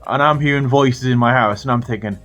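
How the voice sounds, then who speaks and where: A British man telling a funny story to his viewers in a casual, animated way, swearing freely. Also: medium pitch, a little fast, faint music behind. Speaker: storytelling vlogger.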